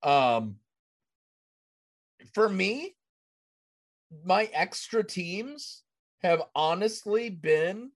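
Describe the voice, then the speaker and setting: Slow-talking, higher-voiced man hosting a podcast. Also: lively intonation. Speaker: podcast host. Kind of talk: casual gaming podcast chat.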